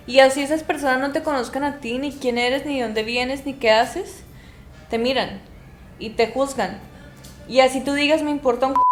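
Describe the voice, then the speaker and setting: A young woman, co-hosting a podcast in casual chat and making her point emphatically with repeated phrases. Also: sits high. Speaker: podcast co-host. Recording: echoey room with faint ambience.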